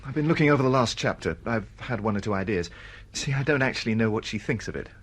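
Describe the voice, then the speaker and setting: A man's voice: lowish voice, expressive, quick pace, slight background noise, lightly acted. An actor playing a disgruntled, impulsive British male writer in a naturalistic radio drama, insistent and full of questions. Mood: exasperated.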